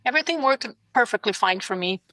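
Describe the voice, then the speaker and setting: Middle-aged Brazilian woman, Portuguese native, earnest guest, bright tone, dry close sound. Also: fairly high pitch, animated, quick pace, dry recording, low-quality recording. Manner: earnest and heartfelt. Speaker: guest, ICU nurse. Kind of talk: podcast interview.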